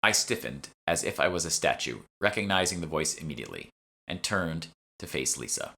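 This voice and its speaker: A man narrates a fantasy audiobook in a very low, gravelly voice. His delivery is animated, following the scripted prose with expressive swings. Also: brisk. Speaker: author reading own audiobook.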